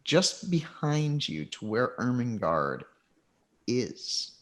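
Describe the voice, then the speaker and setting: A man narrating as game master of a tabletop game in an easygoing way. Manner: relaxed, unhurried narration with lively pitch.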